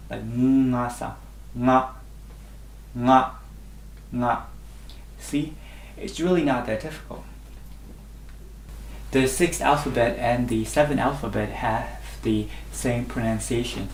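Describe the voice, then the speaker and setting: Slow, patient male language teacher. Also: medium pitch, echoey room, a little hiss or hum, poor audio. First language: Burmese. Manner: repeats sounds clearly three times, patient. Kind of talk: slow instructional lesson.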